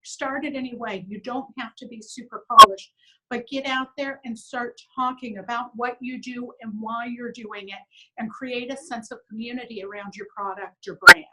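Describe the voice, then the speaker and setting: A woman's voice: fairly high pitch, moderate pace, over a noisy crowd, rough recording. A middle-aged woman talking as an interview guest over a video call, upbeat and earnest, often acting out what others said. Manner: upbeat, earnest, quotes others in lively voices. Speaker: business owner, interview guest. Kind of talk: casual conversational interview.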